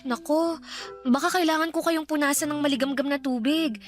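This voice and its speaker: A woman plays a very high-pitched teenage housemaid, deferential and caring in a radio drama. She fusses politely and hesitates, with faint music behind her. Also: brisk, natural voice. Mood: gentle, caring. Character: caring, deferential eighteen-year-old female housemaid. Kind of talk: Tagalog radio drama acting.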